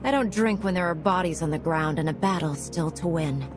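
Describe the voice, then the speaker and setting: A woman playing a resolute, no-nonsense Jedi commander in a video game, speaking firmly and with measured authority. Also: on the low side, natural voice. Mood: firm, composed. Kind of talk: video game cutscene acting. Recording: music behind.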